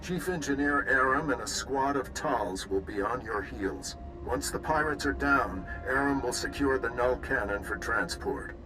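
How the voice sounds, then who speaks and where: An actor playing a gruff, pugnacious admiral in a video game, giving orders in a blunt, salty, commanding way. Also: male voice, medium pitch, measured pace, few pauses, long reverb tail, over music, natural voice, low-quality recording.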